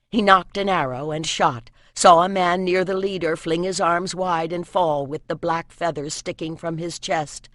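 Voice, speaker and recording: An audiobook narrator reading epic fantasy in an expressive, solemn storytelling manner. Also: mid-range voice, dry recording. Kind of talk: dramatic scripted fantasy narration.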